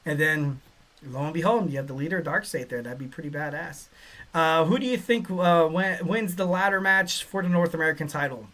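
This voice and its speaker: A young man hosting a casual solo podcast, rambling with many fillers and breaking into excited bursts. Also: a little high, fairly quick. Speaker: podcast host. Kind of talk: casual solo podcast talk reading listener chat.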